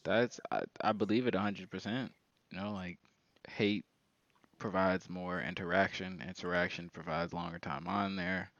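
A man's voice: low-pitched, lively intonation, normal speed, gravelly, fairly bright tone, no echo, dull audio. A man in his mid twenties chatting casually as a podcast co-host, with a slangy, informal way of talking. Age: mid 20s. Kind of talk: casual group chat.

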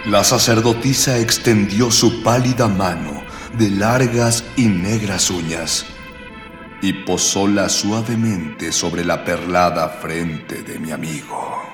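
An actor playing a grandiloquent spirit companion who narrates a radio serial in old-fashioned literary language. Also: male voice, low-pitched, takes it slowly. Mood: suspenseful. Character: grandiloquent male unseen spirit companion of the hero. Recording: echoey long reverb over music.

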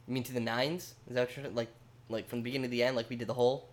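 Brief: male podcast co-host, mid-pitched, rambling and animated, swears casually. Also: very fast. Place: home basement studio.

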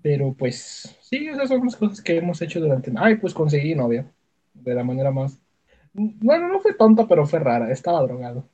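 A man's voice: on the high side, huge pitch swings, normal speed, warm tone. A young adult man with a Mexican accent chatting casually as a podcast co-host, telling personal stories in slangy, sweary language. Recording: dull lo-fi voice audio.

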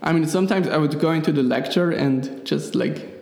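A man's voice: fairly high pitch, a little fast, vocal fry, darkish tone. A young man from Switzerland with a Swiss German accent, a guest chatting casually and hesitantly in an interview. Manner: hesitant, many fillers and restarts, laughs easily. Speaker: guest, app developer. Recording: echoey room with long reverb.

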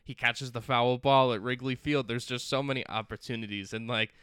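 A man's voice: medium pitch, steady tone, fairly quick, a bit bright, clear, resonant tone, no room sound, studio quality. A young man co-hosting a podcast, rambling casually about films with many fillers and restarts. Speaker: podcast co-host. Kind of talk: casual chat.

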